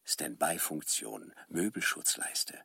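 An actor voicing an appliance's slow, measured sales recital of features in a radio drama. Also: male voice, very deep voice, lively intonation, creaky, pushed and bright, breathy edge, no room sound, studio quality, full character voice. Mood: seductive recital. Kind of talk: advertising slogans in a radio drama.

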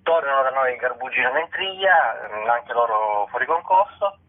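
A man's voice: mid-range voice, expressive, normal speed. A man being interviewed as a festival director, speaking spontaneously and hesitantly, repeating and restarting phrases. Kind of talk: spontaneous radio phone interview. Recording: narrow-band phone line.

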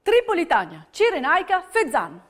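A woman's voice: extremely high pitch, huge pitch swings, moderate pace, fairly bright tone. A woman narrating a scripted theatre piece with emphatic, dramatic storytelling. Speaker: stage narrator.

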